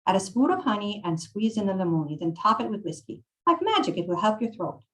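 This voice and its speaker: Old Italian woman shopkeeper, played by a woman reader: higher pitch, Italian accent, bossy, mothering, snarky, from a read-aloud novel. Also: sing-song, fast talker, a bit bright, rough recording. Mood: instructive.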